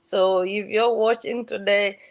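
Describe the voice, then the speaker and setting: A woman's voice: medium pitch, fairly even intonation, measured pace, no echo. A woman from South Sudan introducing herself on a video call, speaking in a reassuring way that circles back and restarts often. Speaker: guest mentor. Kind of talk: motivational self-introduction.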